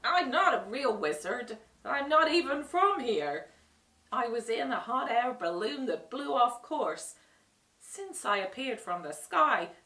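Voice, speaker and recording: A woman's voice: high-pitched, sharp tone, recorded from a distance, voice pushed up, heavily acted. A woman voicing a little old man who poses as a great wizard in a storybook, sheepish beneath the grandness. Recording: roomy echo, away from the mic.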